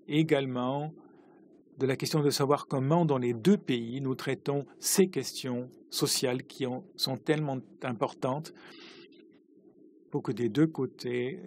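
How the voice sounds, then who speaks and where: A man doing simultaneous interpretation of parliamentary speeches, halting, with uneven pacing and frequent restarts. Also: medium pitch, darkish tone, breathy edge.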